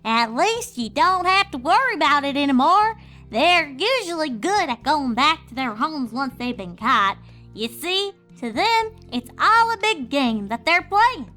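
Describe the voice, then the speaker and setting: A woman voicing a kindly old granny pony, knowing and reassuring, in a slow folksy manner. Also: sits high, big pitch swings, sharp tone, faint music behind, pitched above the performer's own voice, heavily acted. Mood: amused, reassuring.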